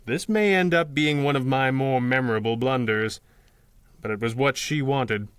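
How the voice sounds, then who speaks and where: An actor playing a calm, philosophical masked crime fighter in a 1930s-style radio adventure, speaking in a measured, articulate way. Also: male voice, medium pitch, clean tone, no echo, clean studio sound, natural voice. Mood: reflective, gentle.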